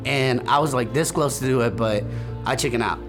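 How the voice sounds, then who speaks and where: A young man from El Salvador tells his story in an earnest, halting way, leaning on fillers as he goes. His voice sits at a middle pitch, and music plays clearly beneath him throughout. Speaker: church member giving testimony. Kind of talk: personal testimony, recorded interview. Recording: music bed under voice.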